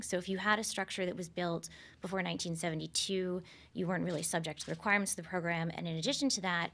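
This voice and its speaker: A soft-spoken woman speaking as a panelist at a press event, explaining policy in a measured way into a table microphone. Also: lowish voice, vocal fry. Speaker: panelist, researcher. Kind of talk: panel discussion remarks. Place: conference room at a press event.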